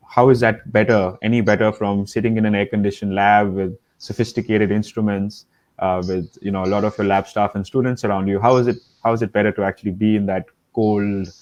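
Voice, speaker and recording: Male host, lowish voice, eager and rambling questions over a video call. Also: darkish tone. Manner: enthusiastic, rambling questions with fillers. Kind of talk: podcast interview.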